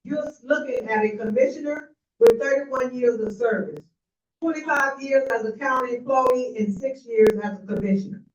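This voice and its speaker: A woman's voice: high voice, moderate pace. Confident middle-aged woman rallying a room. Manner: confident, rallying, banters with the audience. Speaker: county commissioner. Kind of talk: campaign speech at a forum. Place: community meeting room. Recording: echoey, distant and dull room recording.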